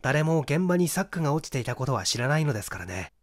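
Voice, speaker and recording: A man voicing a methodical, assured young amateur detective in an audiobook, with deliberate, emphatic explanation. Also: on the high side, sing-song.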